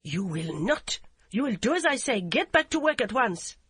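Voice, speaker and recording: A domineering older woman snaps out curt commands, voiced by a woman narrator. The tone is firm and raised, with a breathy texture. It sounds close and dry. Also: fairly high pitch, animated, moderate pace, sharp tone, dry recording, heavily acted. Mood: stern commanding. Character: stern older mother-in-law, domineering.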